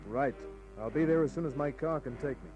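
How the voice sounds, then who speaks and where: A man's voice: mid-range voice, a little fast, muffled recording, close to an everyday voice. Man playing a wry hard-boiled radio private eye, music behind. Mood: businesslike. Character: wry tough male private detective narrating his cases. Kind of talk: 1950s detective radio drama narration.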